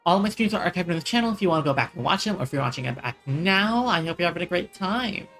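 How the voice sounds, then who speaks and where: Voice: mid-range voice, lively intonation, fast talker, gravelly, a bit bright. A streamer giving casual game commentary, chatty and musing aloud, often trailing off. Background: faint game music.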